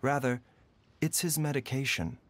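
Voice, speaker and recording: A man voicing a calm, grave physician in a fantasy game, speaking in a measured, formal way over music. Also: medium pitch, a little hiss or hum, lightly acted. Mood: grave, composed.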